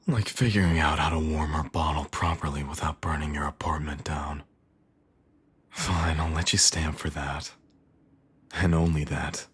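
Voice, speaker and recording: An extremely deep, heavily husky villain, acted by a man, made for intimate ASMR roleplay. He murmurs with smug, seductive teasing and a doting streak underneath. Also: exaggerated voice. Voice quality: husky, very deep, breathy at times.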